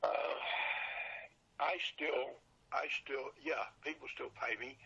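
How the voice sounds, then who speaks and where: An older retired man with a raspy, husky voice chatting casually as an interview guest, plain-spoken and repeating phrases for emphasis. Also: medium pitch, fairly even intonation, slow, sharp tone, muffled recording. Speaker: podcast guest, seller of old radio show recordings.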